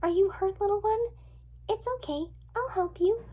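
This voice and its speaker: A woman voicing a timid, gentle teenage girl who pleads in a frightened, soft-hearted way in a read-aloud story. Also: very high voice, normal speed, pitched above the performer's own voice. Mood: tender concern. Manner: soft-hearted, pleading, tearful when scared.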